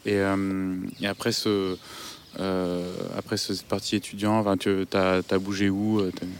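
A man hosting a casual interview outdoors in a park, asking questions haltingly with many fillers. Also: low voice, little pitch movement, a little hiss or hum. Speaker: podcast host.

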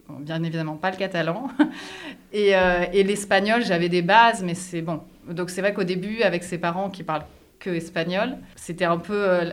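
A woman in her thirties from France answers as an interview guest. Her voice is slightly low. She talks with frequent pauses and fillers. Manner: hesitant, many fillers. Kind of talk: casual interview chat.

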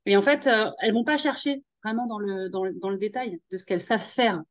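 A woman hosting a podcast and coaching women, talking in a relaxed, chatty way with slang and advice. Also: medium pitch, brisk, no echo. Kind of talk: casual podcast conversation.

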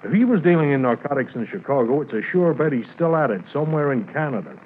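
Actor as a mountie sergeant guy, brisk and bossy, old radio drama, tinny and hissy. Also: medium pitch, very animated, close to an everyday voice. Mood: urgent, businesslike. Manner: authoritative, clipped. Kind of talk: 1950s radio acting.

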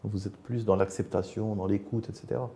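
A man's voice: on the low side, steady tone, fairly quick, mellow, slight background noise. A man hosting a conversational interview, explaining his thoughts reflectively and often rephrasing as he goes. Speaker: interviewer, host.

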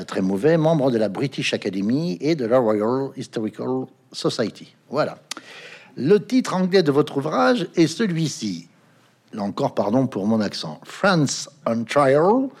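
Middle-aged man, formal interviewer, husky voice, some hesitations. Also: medium pitch, a little mellow.

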